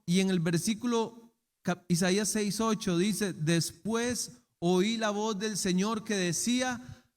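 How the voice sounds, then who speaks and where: A man's voice: sits high, a little mellow. A middle-aged man preaching a sermon as pastor, often asking rhetorical questions and acting out imagined excuses. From Costa Rica. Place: church chapel. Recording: long reverb of a church hall.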